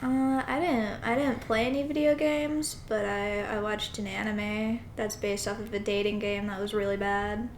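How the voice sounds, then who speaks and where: A woman's voice: medium pitch, steady tone, moderate pace, few pauses. A young adult woman from the southern United States chatting casually as a podcast co-host. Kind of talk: casual comedic chat. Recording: roomy echo, faint background noise.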